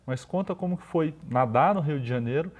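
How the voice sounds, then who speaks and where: A man's voice: on the high side, lively intonation, fairly quick. A man from Brazil hosting a TV sports interview, asking questions in a conversational way. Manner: conversational, leading questions with tag "né". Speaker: TV sports show host. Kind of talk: TV studio interview. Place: TV studio. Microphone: lapel mic.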